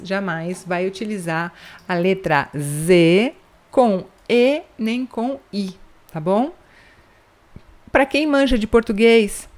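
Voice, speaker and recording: A woman's voice: medium pitch, darkish tone. Brazilian woman, young, casual online teacher, keeps checking in, drawls out example words. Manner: chatty, checks in with "tá bom?" after points; slows down to spell out example words. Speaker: Spanish teacher. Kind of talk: online language lesson.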